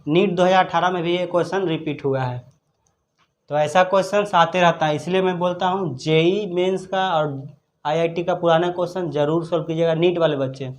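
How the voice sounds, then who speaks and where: A man's voice: on the high side, fairly even intonation, fairly quick. A man teaching chemistry step by step as an instructor, repeating his points for students. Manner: repetitive, instructive. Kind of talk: step-by-step exam problem lesson.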